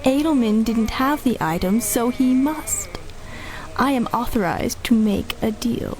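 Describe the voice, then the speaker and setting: A woman's voice: on the high side, expressive, measured pace, a little mellow, faint music behind, poor audio. An actress playing a cool, menacing female agent, condescending and assured, in 1930s-style radio drama. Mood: cool menace.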